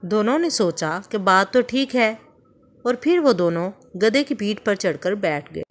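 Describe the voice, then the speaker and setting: A woman telling a moral story for children in calm, measured scripted narration. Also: mid-range voice.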